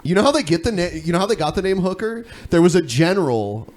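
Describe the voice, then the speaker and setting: A man's voice: high-pitched, sing-song, fast talker, darkish tone. A man hosting a podcast in casual, conversational talk. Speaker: podcast host. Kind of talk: casual chat. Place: indoor room, podcast stream.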